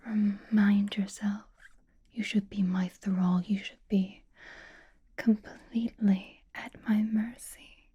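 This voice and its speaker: A female vampire, played by a woman whispers slowly and breathily, with a soft, mellow tone. She is coy and teasing, possessive of her pet, and gives intimate orders in a gothic ASMR roleplay. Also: medium pitch, fairly even intonation, husky, muffled recording. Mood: commanding, possessive. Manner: slow, coy, intimate, commanding.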